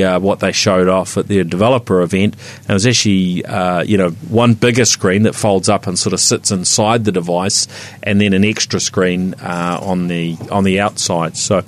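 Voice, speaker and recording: A man with a New Zealand accent hosting a tech podcast, talking casually with many fillers and restarts. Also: on the low side. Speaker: podcast host. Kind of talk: casual tech news chat.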